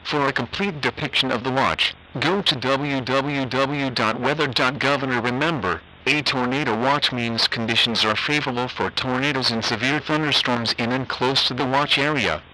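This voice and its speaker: A man reading a scripted emergency weather bulletin in a formal, measured, impersonal way. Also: mid-range voice, bright, forceful tone, low-quality recording. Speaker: weather alert announcer.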